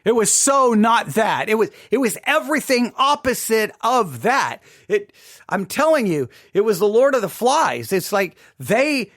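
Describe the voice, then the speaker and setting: A man hosting a casual, personal commentary, speaking emphatically with halting repetitions. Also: sits high, fairly bright tone. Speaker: host commenting on a radio drama.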